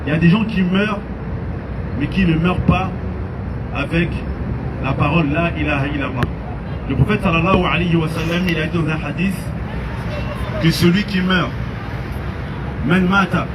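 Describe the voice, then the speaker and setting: A man's voice: on the high side, normal speed, long pauses, distant mic, heavy background noise. A man from France giving an earnest outdoor sermon, mixing in Arabic phrases. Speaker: preacher. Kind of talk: street preaching to a crowd.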